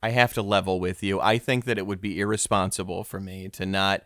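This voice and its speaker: A man's voice: low voice, little pitch movement, brisk, fairly bright tone, no room sound, studio quality. A man hosting a mock-serious comedy interview, hesitant and stammering, sounding awkwardly earnest. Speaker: podcast host.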